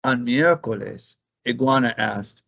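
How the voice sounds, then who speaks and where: A man narrating a children's picture book aloud, expressive and deliberate. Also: mid-range voice, gravelly. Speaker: storybook reader. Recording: slightly dull lo-fi recording.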